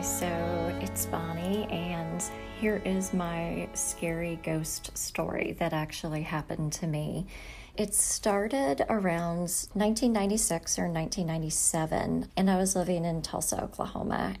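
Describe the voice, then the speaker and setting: A woman with a slightly low voice tells a personal ghost story. She is casual and conversational, leaning on key words for emphasis, with faint music underneath. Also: measured pace, a little mellow. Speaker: storytelling contributor.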